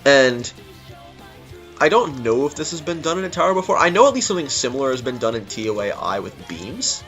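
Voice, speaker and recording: A man's voice: medium pitch, big pitch swings, fairly quick, a bit bright, highs cut off. A man giving informal, rambling, unscripted commentary on a game level he built. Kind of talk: casual unscripted gameplay commentary. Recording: music behind the voice. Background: game music.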